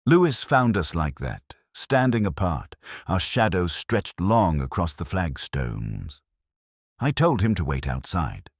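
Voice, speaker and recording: A man narrating a mystery drama as a brooding, reflective detective inspector, in a measured, solemn and literary delivery. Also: low-pitched, big pitch swings, dry recording, clean studio sound, heavily acted. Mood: grave, weary. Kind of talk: scripted first-person mystery narration.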